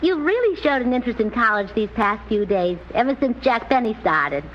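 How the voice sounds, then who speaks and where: An actress playing a scatterbrained, cheerfully illogical American wife, chirpy and guileless, in a 1940s radio comedy on a muffled, noisy old recording. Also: female voice, on the high side, big pitch swings, fairly quick, a bit bright. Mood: proud, chirpy.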